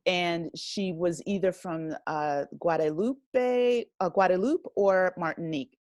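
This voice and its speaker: A woman with an American accent talks in a fairly low voice with very little room sound. She is an interview guest speaking conversationally. Her delivery halts and rephrases as she goes, peppered with "you know". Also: measured pace, darkish tone, no room sound, studio quality. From United States, a rural town. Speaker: interview guest, writer and director of an audio drama.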